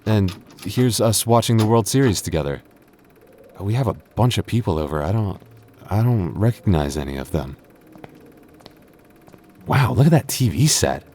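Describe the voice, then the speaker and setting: A man playing a devoted, earnest young boyfriend in an intimate audio roleplay, speaking haltingly with stammers. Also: lowish voice, sing-song, a little mellow, slight background noise. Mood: affectionate, wistful. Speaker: voice actor.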